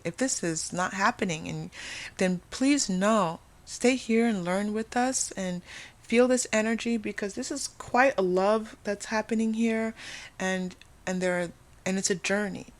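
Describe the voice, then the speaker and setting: A woman hosting an unscripted spiritual tarot reading, speaking earnestly and emphatically to viewers, often repeating and rephrasing her phrases. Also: mid-range voice. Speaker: tarot reader hosting a channel.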